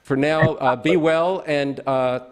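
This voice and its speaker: A man hosting a political discussion as an activist, speaking emphatically and haltingly, often restarting his sentences. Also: fairly high pitch, fairly even intonation, clear, resonant tone. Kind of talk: impassioned political conversation at a streamed event.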